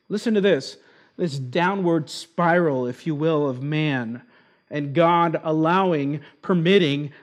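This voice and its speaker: A man with Argentine roots preaching an expository sermon, slowing down to stress key words. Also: on the high side. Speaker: pastor.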